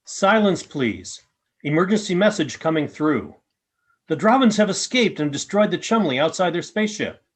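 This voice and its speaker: A man acts out a calm alien being in a sci-fi radio play. The voice is fairly high and husky, rising and falling widely, yet the phrasing stays clipped, formal and measured. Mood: commanding, urgent. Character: calm, formal alien Rill speaking through robots.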